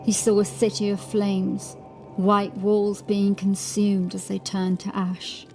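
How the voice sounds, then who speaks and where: A woman reading scripted horror fiction as narrator, in a dramatic, measured storytelling manner. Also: mid-range voice, flat delivery, dark tone. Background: music.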